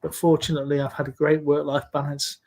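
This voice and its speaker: A man in his sixties from Scotland, speaking in a measured, earnest way as a guest in a live video-call interview. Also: a little high, completely flat delivery, gravelly, darkish tone. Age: 60s. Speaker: interview guest, safety leader.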